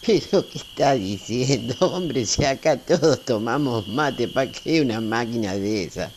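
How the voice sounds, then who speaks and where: A man's voice: medium pitch, lively intonation, unhurried, close to an everyday voice. A middle-aged man with a Rioplatense Argentine accent playing a talkative, tender-hearted small-town family man in a radio play. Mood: reassuring, homely. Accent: Rioplatense Argentine Spanish. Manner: talkative, folksy, seeking agreement. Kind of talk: amateur radio play acting. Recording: faint hiss with night crickets. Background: crickets, night ambience.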